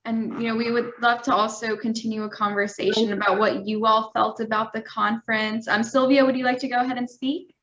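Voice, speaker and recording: A woman's voice: a little high, flat delivery, brisk, hardly any pauses, fairly bright tone. A woman moderating a video call discussion, friendly and encouraging. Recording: dull lo-fi call audio.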